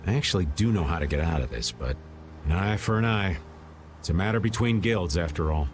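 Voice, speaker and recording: A man's voice: deep voice, lively intonation, brisk, noisy background. A man playing a roguish, easygoing middle-aged rascal in a video game, playful and world-wise. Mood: sly, resigned. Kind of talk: video game dub acting.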